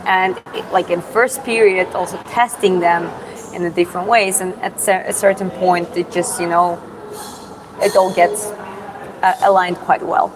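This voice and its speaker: A woman from Georgia, hosting a casual livestream Q&A and answering chat in an unhurried, thoughtful way with many fillers and restarts. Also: lowish voice, fairly even intonation, crowd noise, low-quality recording. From Georgia (country). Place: public spot in Da Nang with other people around.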